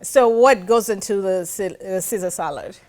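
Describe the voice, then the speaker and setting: A woman's voice: medium pitch, moderate pace, a little mellow. A woman from western Uganda, hosting a casual cooking-show chat and eagerly asking lots of short questions. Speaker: host.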